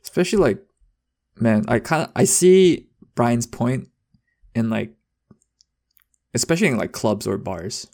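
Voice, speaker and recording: A man's voice: medium pitch, big pitch swings, measured pace, vocal fry, pauses often, darkish tone. A young man chatting casually as a podcast co-host, rambling with lots of fillers and restarts. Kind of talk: casual chat.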